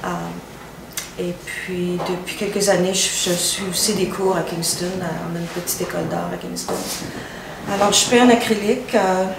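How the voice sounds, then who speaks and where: A woman's voice: lowish voice, fairly even intonation, normal speed. An older retired woman painter from Ottawa, speaking hesitantly with fillers. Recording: echoey room from a distance, noise behind.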